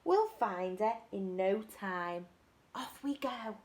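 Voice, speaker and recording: A woman voicing an eager, helpful butterfly in a picture book, coaxing and sometimes hushed. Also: mid-range voice, animated, slow, slight background noise. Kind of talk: storybook read-aloud.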